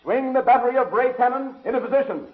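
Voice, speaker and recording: A man voicing a loyal, dutiful alien officer in a 1930s radio serial, earnest and excitable. The old recording is tinny and noisy. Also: extremely high pitch, fast talker, slightly rough. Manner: earnest, excitable theatrical delivery.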